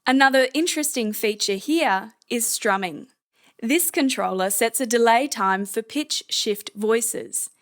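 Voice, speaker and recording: A woman narrating a scripted software tutorial in a measured, explanatory manner. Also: a little high.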